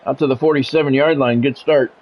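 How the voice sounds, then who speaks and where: A man's voice: medium pitch, fairly even intonation, fast. Guy streaming with sports announcer style calls n casual chat, bit muffled, music in the back. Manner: announcer-like, clipped play calls. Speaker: game streamer calling play-by-play. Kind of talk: sports commentary mixed with casual livestream chat.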